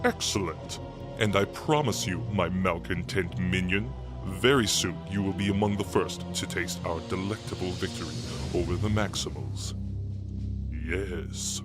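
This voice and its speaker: A man plays a scheming robot tyrant in a cartoon radio drama. He is grandiose and gloating, rolling out pompous lines in a slightly low voice over clear background music. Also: measured pace. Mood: smug, scheming. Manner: pompous, theatrical, savoring words. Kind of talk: animated-series radio drama acting.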